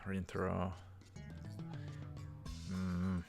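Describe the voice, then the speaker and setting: A man's voice: sits low, monotone, drawn-out words, vocal fry, music in the background. A man hosting a let's play, reading visual novel text aloud and adding casual comments.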